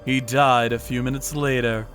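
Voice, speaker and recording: A man voicing a hardboiled male vigilante who narrates the story in a grim, steady manner in a manga dub. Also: mid-range voice, moderate pace, soft background music, lightly acted.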